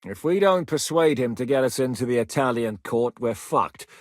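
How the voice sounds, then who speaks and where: An actor playing a gruff, blunt, sweary rebel leader in a video game, giving curt orders. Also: male voice, mid-range voice, lively intonation, moderate pace, no echo, studio quality, lightly acted. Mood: commanding. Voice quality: gruff, commanding. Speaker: game character.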